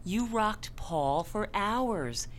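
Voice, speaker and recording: An actress in a radio drama playing a composed, firm yet compassionate hospital staffer, speaking in a measured, formal, consoling way. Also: female voice, mid-range voice, lively intonation, a little hiss or hum.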